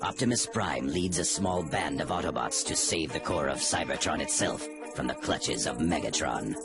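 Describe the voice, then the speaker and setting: A man's voice: rumbling low, animated, moderate pace, over loud music. A man performing as a grave, dramatic storyteller in epic scripted narration.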